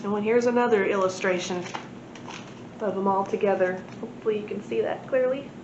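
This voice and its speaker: A woman storyteller at a library reading a children's book aloud expressively. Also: fairly quick. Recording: echoey room, muffled, noisy low rumble.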